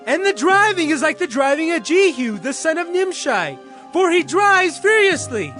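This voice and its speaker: Urgent male watchman actor, extremely high register, calling out reports in scripture drama over soft music. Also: sing-song, normal speed, full character voice. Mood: urgent alert.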